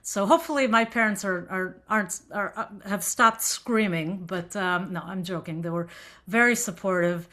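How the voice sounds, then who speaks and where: A woman from Israel with a faint accent speaks to a gathered group in a relaxed lecture. Her voice is bright and clear, and she talks in a chatty way, often pausing on fillers and restarting her sentences. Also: mid-range voice. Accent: light Israeli. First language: Hebrew. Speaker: synagogue musician giving a talk.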